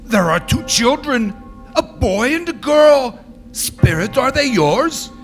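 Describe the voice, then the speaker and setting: A man's voice: extremely high pitch, sing-song, slow pace, soft background music, exaggerated voice. A man playing an anxious old miser in a stage radio drama, speaking in a theatrical, earnest way. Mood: agitated pleading.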